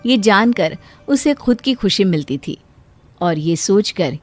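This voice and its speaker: Casting: female storyteller for scripted moral-tale narration, mid-pitched, soft music bed. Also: animated, slow pace.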